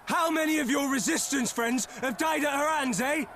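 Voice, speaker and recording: A man's voice: very high-pitched, normal speed, a bit bright. A man playing an angry townsman in a video game mob, heated and confrontational. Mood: accusing, furious. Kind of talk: dramatic video game cutscene acting. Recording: noisy crowd ambience behind.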